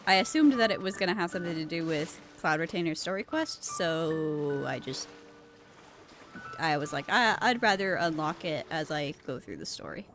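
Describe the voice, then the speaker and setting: A woman game streamer giving casual, chatty live commentary on what she is playing. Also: on the low side. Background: game music.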